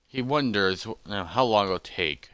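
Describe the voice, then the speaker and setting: Smooth-voiced man narrating a fantasy tale. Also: on the low side, animated, normal speed, a bit bright, no room sound. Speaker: storyteller. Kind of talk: fantasy story narration, partly scripted.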